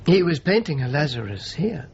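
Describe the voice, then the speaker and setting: A courteous, devout churchman in a radio play. His voice is fairly high and moves up and down a great deal, gentle and thoughtful with halting turns. The recording is muffled with some background ambience. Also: very animated, slight background noise. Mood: mild, earnest. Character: pious, courteous churchman showing a visitor around. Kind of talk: naturalistic radio drama acting.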